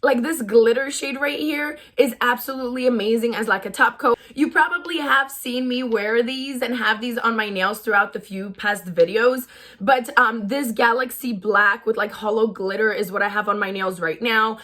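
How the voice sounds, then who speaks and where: A young woman beauty vlogger with a raspy, tired voice, chatting casually about products, gushing and full of "like" fillers. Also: on the high side, normal speed, bright, forceful tone. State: voice tired from shouting. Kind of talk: casual product review chat.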